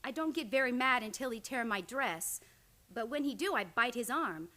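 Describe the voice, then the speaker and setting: Actress doing fiery immigrant frontier wife, radio western, broken english, short blunt phrases, bright highish voice. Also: female voice, lively intonation, moderate pace. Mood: blunt, upset. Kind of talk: old-time radio western acting.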